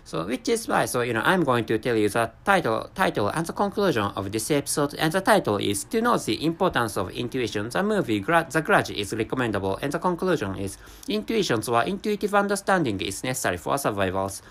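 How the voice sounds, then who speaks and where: A man whose first language is Japanese, hosting a solo episode in English, talking in a halting way with many restarts and stumbles. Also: medium pitch, big pitch swings, gravelly, fairly bright tone. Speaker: podcast host. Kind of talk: casual monologue.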